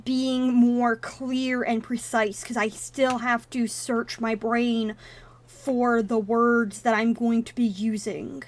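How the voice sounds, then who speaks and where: A woman's voice: fairly high pitch, flat delivery, takes it slowly. A woman whose first language is Russian, casually commenting on a game on a live stream and thinking aloud as she wanders into tangents.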